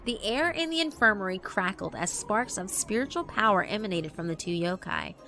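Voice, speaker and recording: A woman's voice: mid-range voice, lively intonation, fairly quick, pushed and bright. A woman narrating a scripted visual novel in a theatrical, emphatic storytelling manner. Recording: music behind the voice.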